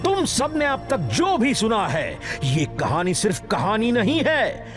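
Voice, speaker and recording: Boastful cartoon showman, high voice, slow grandiose delivery, dramatic pauses, music behind. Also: huge pitch swings, exaggerated voice. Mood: grand, dramatic. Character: boastful showman expedition leader luring treasure hunters. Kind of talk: theatrical cartoon dub pitch.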